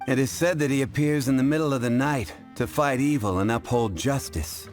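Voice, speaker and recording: An actor playing a calm, dry male tavern bartender who speaks in a wry, measured way and chuckles, in game cutscene voice acting. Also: mid-range voice, close to an everyday voice. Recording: game audio with faint music.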